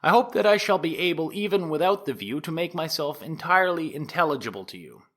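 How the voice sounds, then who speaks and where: A man playing an earnest 1890s prosecutor who delivers a formal courtroom opening to a jury in deliberate, emphatic phrases, as in an audio drama. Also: on the high side, lively intonation, a bit bright. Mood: solemn, earnest.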